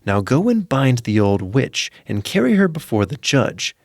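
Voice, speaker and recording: A man's voice: medium pitch, sing-song, moderate pace, vocal fry, darkish tone, no echo, clean studio sound. Man playing a resolute, weary discharged soldier. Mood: firm.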